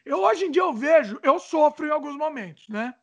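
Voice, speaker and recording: Brazilian woman hosting, high voice, trips over words while reading questions, joking a lot. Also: animated, moderate pace, a little hiss or hum. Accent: Brazilian Portuguese. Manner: chatty, stumbles over reading, jokes about her age. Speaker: host reading viewer questions. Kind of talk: casual chat.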